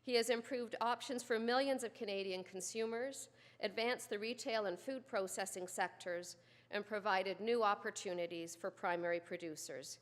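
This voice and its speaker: A woman dean reading a formal honorary degree citation at a ceremony in a steady, formal way. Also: mid-range voice, normal speed. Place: large ceremony hall. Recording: long hall reverb.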